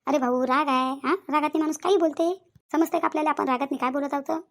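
A woman's voice: high voice, steady tone, quick pace. A woman playing a middle-aged village matriarch in a comedy cartoon, placating and talkative, with a Varhadi Marathi accent and repetitive, reassuring persuasion. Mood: calming, insistent.

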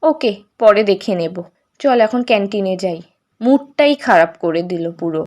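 A woman's voice: medium pitch, animated, normal speed, darkish tone, lo-fi sound, close to an everyday voice. A woman voicing a snobbish, disdainful young college girl in a cartoon, with a haughty, mocking way of talking. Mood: irritated. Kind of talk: Bengali animated story dialogue.

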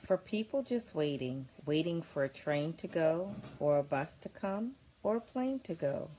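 A woman's voice: sits low, expressive, unhurried, dark tone, a little hiss or hum, rough recording. A woman reading a rhyming picture book aloud. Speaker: volunteer reader.

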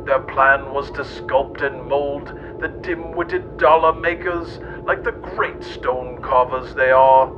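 A man's voice: slow pace, hardly any pauses, music in the background. A man reading a scripted mock-history tale in a theatrical, mock-serious manner. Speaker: narrator and host. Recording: dull, noisy, rumbly old-radio sound.

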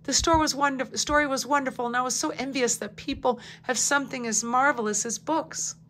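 A gentle dandelion, voiced by a woman reading aloud, speaking in a softly raised, wistful voice that longs to be remembered. She sounds tender and a little dreamy, like a flower in a storybook. A faint hum sits under the recording. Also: high voice, steady tone, a little fast, voice pushed up. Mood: gleeful.

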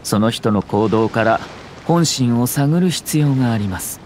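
A man's voice: medium pitch, animated, a little slow, noisy background, natural voice. An actor playing a shrewd young nobleman in a video game, speaking in a polite, composed, formal manner. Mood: measured, analytical. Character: courteous, shrewd young nobleman and clan head. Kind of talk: video game character dialogue.

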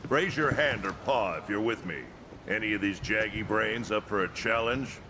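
An actor playing a gruff, jovial veteran admiral in a video game cutscene, hearty and boastful with frequent chuckles. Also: male voice, on the low side, moderate pace, background noise, close to an everyday voice. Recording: game cutscene with loud music.

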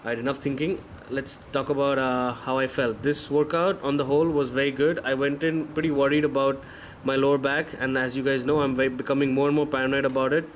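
A man's voice: mid-range voice, steady tone, fast talker, slight background noise. A man in his thirties, a fitness vlogger, giving candid, self-correcting voice-over commentary on his own workout footage. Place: voice-over, not recorded in the pictured gym.

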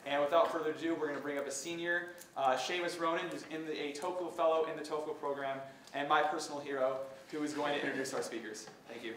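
A loud young man makes casual announcements to a lecture-hall audience, his voice a bit high. The hall rings around him and he is caught from some distance away, with faint room noise behind. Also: steady tone, quick pace, few pauses. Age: young adult. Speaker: student club president.

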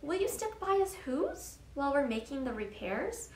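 A tiny storybook mayor, acted out by a woman, speaking very high in an echoey room. The little figure is earnest and pleading, with rhyming lines. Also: very animated, moderate pace. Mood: distressed, pleading. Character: tiny, earnest, grateful mayor of a speck-sized town. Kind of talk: children's storybook read-aloud. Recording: echoey room with faint noise.

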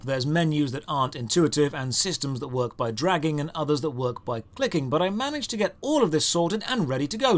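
A man's voice: on the high side, fairly bright tone. A British man narrating a scripted comedic game review in a sarcastic, mock-grandiose, theatrical manner. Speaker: video game reviewer and host.

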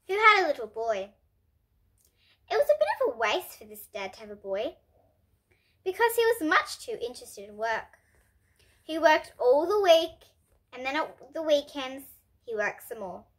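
A child reading a storybook aloud in an expressive, careful way, occasionally stumbling over words. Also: high-pitched. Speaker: host of a children's book reading series.

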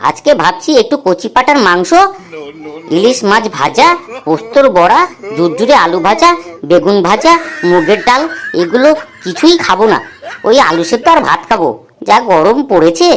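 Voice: mid-range voice, sing-song, moderate pace, fairly bright tone. A performer voicing cheeky, playful schoolboys in a comedy cartoon.

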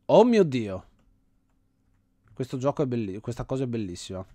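A man's voice: mid-range voice, big pitch swings, normal speed, warm tone, no room sound. A man hosting a gaming channel, giving casual let's play commentary, thinking aloud with enthusiasm.

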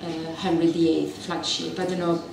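A woman's voice: low voice, level tone, moderate pace, vocal fry, distant mic, background noise. A middle-aged woman from Italy with a strong Italian accent, giving a chatty, self-deprecating public lecture on a handheld mic. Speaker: university researcher giving a public talk. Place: dark event venue with audience. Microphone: handheld mic, filmed from a distance. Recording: echoey venue recorded from afar, crowd present.

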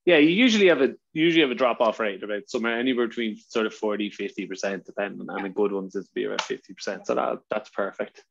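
A man with a strong Irish accent, chatting casually as a webinar presenter, talking fast with lots of fillers. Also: lowish voice, gravelly, fairly bright tone, lo-fi sound. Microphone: video call.

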